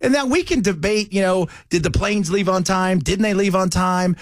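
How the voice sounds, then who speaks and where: A man's voice: high voice, moderate pace, dry recording, clean studio sound. An American man hosting talk radio, giving opinionated political commentary in a conversational way with rhetorical questions and mocking asides.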